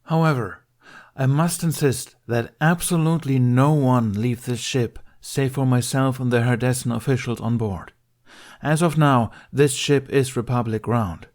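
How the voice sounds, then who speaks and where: A man acts out a Jedi master in a science fiction audiobook. He is calm and commanding, with formal, carefully enunciated phrases. The voice is raised and projected with steady authority. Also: mid-range voice, measured pace, pitched above the performer's own voice, lightly acted. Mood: stern, insistent. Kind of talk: acted audiobook dialogue in a science fiction story.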